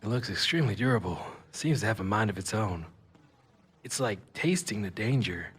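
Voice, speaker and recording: Man playing echoey, low-voiced wisecracking cyborg. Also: animated, brisk, a bit bright. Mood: flippant. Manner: rambling, flippant first-person narration. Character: wisecracking male cyborg with a robotic tail, dazed and flippant. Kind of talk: audio drama acting and narration. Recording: echoey, long reverb, noisy background.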